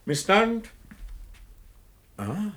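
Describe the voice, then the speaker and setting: An actor playing an anxious, solicitous professor in a German radio play. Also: male voice, sits high, big pitch swings, drawn-out words, fairly bright tone, slight background noise, heavily acted.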